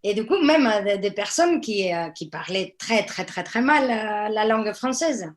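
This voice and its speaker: A woman speaking on a public panel about the theatre workshops she runs. She tells stories in an animated, engaged way, in a voice of ordinary pitch. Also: moderate pace. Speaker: theatre workshop leader for women. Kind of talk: panel discussion.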